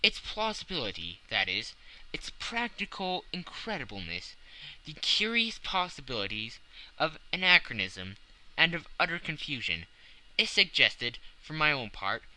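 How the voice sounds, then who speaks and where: A man reading a classic novel as a dramatic audiobook narration, with measured literary phrasing and deliberate pauses. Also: a little high, pushed and bright, low-quality recording.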